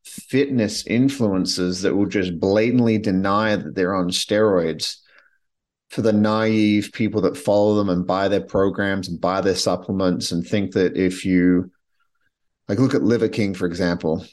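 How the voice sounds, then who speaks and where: Man, podcast host, lowish voice, blunt, opinionated, casual monologue. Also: steady tone, a little slow, a little mellow. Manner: blunt, opinionated, with frequent restarts.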